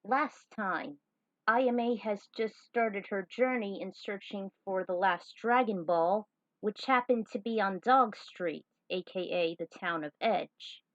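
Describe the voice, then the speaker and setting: A woman reads a story aloud like the host of her own series. Her delivery is informal and chatty, with a middling pitch. The recording is dull and lo-fi. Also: takes it slowly. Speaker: fan fiction reader and channel host. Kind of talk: casual narration of fan fiction read aloud.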